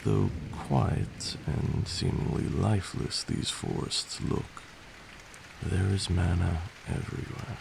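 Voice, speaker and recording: A man playing a patient, stern vampire lord who teaches magic in a measured, formal ASMR roleplay. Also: low voice, fairly even intonation, creaky, noisy background, exaggerated voice. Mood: solemn, instructive.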